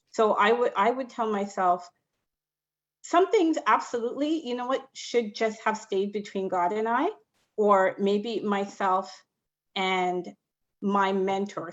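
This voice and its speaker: Earnest woman on a dull video call. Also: medium pitch. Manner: earnest, advice-giving, emphatic. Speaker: panellist. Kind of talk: reflective panel talk.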